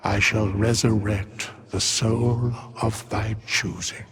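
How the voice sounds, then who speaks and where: An actor playing an ancient, solemn undead skeleton in a fantasy game, speaking in an archaic, measured and cryptic way with a gravelly voice. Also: male voice, fairly low pitch, level tone, fairly bright tone, a little hiss or hum. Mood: solemn, detached.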